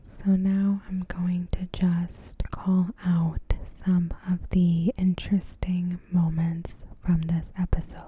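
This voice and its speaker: A woman doing ASMR commentary in a breathy, hushed whisper, measured and deliberate, close to the microphone. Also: on the low side, flat delivery, slight background noise. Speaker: ASMR creator reviewing a TV episode. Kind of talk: ASMR, soft scripted commentary. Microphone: close microphone in a dry space.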